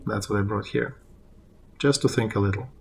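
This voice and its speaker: A man interpreting a political discussion live, in halting phrases as he translates on the fly. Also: on the low side, gravelly.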